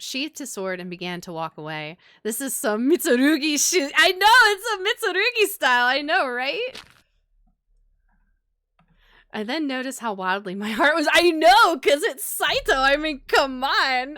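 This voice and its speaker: A woman's voice: high voice, expressive, normal speed, few pauses, sharp tone, no room sound, clean studio sound. A woman streamer reading a visual novel aloud and reacting casually, expressively, with some swearing. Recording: game music behind the voice. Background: video game music.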